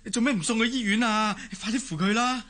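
An actor playing an exasperated but devoted young grandson in a radio drama, protesting plainly, with a Hong Kong Cantonese accent. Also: male voice, high-pitched, fast talker, bright, forceful tone. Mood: alarmed.

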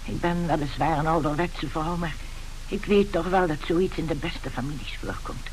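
A woman's voice: low-pitched, normal speed, darkish tone, close to an everyday voice, poor audio. An actress playing an old-fashioned, demanding upper-class mother who gets easily flustered, in a radio play. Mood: stern, curt. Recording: hissy old radio drama recording.

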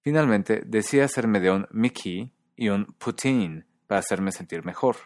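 Low voiced guy reading his podcast script, calm and explaining, dry close sound. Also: no echo. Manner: measured, explanatory. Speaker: podcast host.

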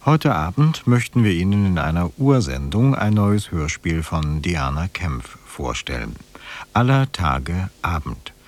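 A man's voice: deep voice, very animated, unhurried. A man working as a radio announcer, giving a scripted formal introduction to a play.

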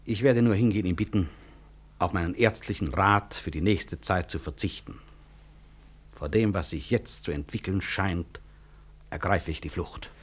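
An actor playing a weary, proud physician-professor in a 1960s German radio drama, husky, reflective and articulate, with wry self-mockery. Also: male voice, deep voice. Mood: embarrassed, exasperated. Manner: reflective, articulate, deliberate stage delivery.

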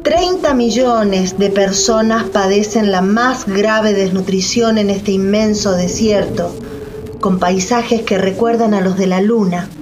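A woman narrating a radio play in a scripted documentary style. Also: mid-range voice. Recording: noisy, with audible background ambience.

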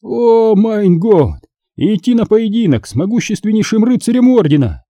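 A man's voice: sits high, big pitch swings, moderate pace, dark tone, dry recording, clean studio sound, voice pushed up. A man voicing a proud grand master of a knightly order, with emphatic, ceremonious oratory and coloring. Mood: astonished.

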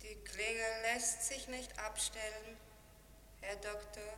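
An actress playing a cold, implacable secretary in a dreamlike 1950s radio play, speaking formally with detachment. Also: female voice, fairly high pitch, little pitch movement, slow, bright, forceful tone. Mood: coolly formal. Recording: echoey hall, distant, noisy old radio.

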